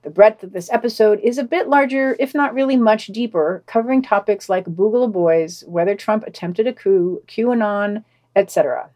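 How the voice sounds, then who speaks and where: A woman's voice: medium pitch, moderate pace, darkish tone. A woman hosting a podcast, reading scripted summaries. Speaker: podcast host.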